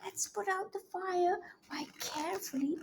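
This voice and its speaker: A woman reading a storybook aloud to young children as a teacher, animated, with exclamations, coaxing questions and repeated words. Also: extremely high pitch, unhurried, a little hiss or hum.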